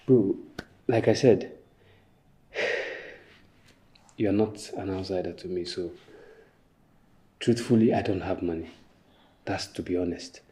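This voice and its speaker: A man playing a calm, reluctant, apologetically frank friend in a screen drama, measured, with a Nigerian accent. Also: deep voice. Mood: reluctant, apologetic.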